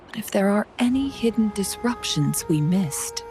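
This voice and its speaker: An actress playing a composed, perceptive noblewoman advisor in a video game, measured and poised, gently probing. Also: medium pitch, expressive, faint music behind, close to an everyday voice. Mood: calm, analytical.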